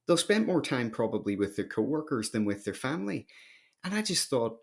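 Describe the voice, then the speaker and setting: A man's voice: mid-range voice, sing-song, a little fast, gravelly, husky. A man with a Northern Irish accent telling his story conversationally and emphatically as a podcast guest. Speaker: podcast guest, company founder.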